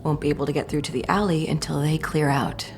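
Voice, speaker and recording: An actress playing a wary, sardonic female thief who grumbles in a hushed, gruff way in a fantasy audio drama. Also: sits low, steady tone, fast talker, over music. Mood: irritated, hushed.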